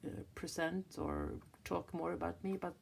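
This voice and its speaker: A woman's voice: on the low side, huge pitch swings, normal speed, vocal fry, a little hiss or hum. Hesitant Swedish woman in her fifties. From Sweden. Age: 50s. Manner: hesitant, searching for words, many restarts. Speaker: planner vlogger. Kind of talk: casual flip-through chat. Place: indoors at a table, hands on a planner.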